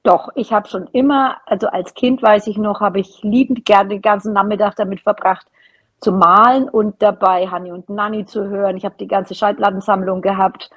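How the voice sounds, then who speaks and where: Middle-aged woman, slightly husky, podcast guest. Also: medium pitch, steady tone, moderate pace. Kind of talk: casual interview chat. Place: at a table in a room at home, indoors. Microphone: video call.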